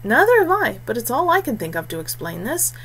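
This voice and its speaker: A level-headed young warrior man, read by a woman in a fantasy storybook, reasoning things out in a matter-of-fact way. There is a clear noisy hum in the recording. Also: on the high side, big pitch swings, brisk, fairly bright tone. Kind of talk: audiobook character dialogue.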